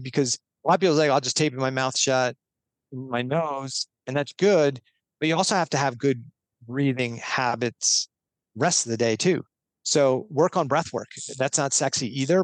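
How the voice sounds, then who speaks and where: A man's voice: mid-range voice, no room sound. A man talking as a guest expert on a podcast, explaining earnestly step by step with a few restarts.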